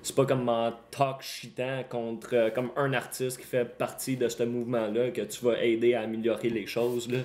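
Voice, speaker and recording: A man whose first language is English, speaking French with an English accent, calmly and articulately explaining his views in a casual chat. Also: medium pitch, moderate pace, few pauses. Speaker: battle rapper guest. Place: small indoor room at a table. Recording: echoey room.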